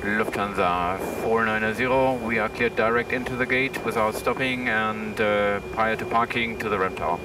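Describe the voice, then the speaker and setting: A man's voice: lowish voice, moderate pace, few pauses, background noise. A man speaking clipped radio phraseology and checklist callouts as an airline pilot on a headset. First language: German. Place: airliner cockpit in flight. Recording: distant cockpit audio with aircraft drone.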